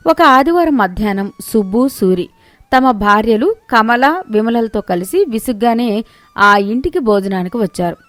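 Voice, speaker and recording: A woman narrating a scripted moral story in an expressive, measured way. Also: fairly high pitch, no room sound.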